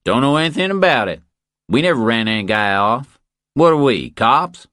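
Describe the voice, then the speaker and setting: Man playing a cocky, higher-pitched ranch hand. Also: big pitch swings, moderate pace, fairly bright tone, no room sound, studio quality. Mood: defensive, mocking.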